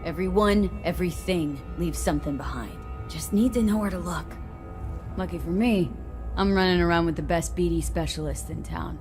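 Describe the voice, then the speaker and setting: A woman's voice: on the low side, moderate pace, darkish tone, faint music behind, close to an everyday voice. A woman playing a tough, determined mercenary in a video game, wry and streetwise, with blunt, clipped, slangy delivery. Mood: focused.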